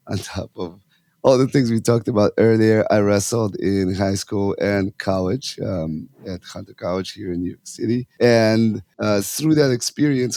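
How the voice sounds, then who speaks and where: A man's voice: fairly low pitch, darkish tone, no echo. A man from Russia, in his thirties, a youth hockey coach talking earnestly in an interview, with many fillers and restarts. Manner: earnest, talks in long runs with fillers and restarts. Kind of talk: casual interview talk.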